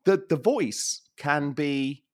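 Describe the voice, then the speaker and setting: A man co-hosting a casual podcast chat, animated and quick to laugh, with a voice that is wearing out. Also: fairly high pitch. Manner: animated, laughs often, repeats himself. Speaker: podcast co-host.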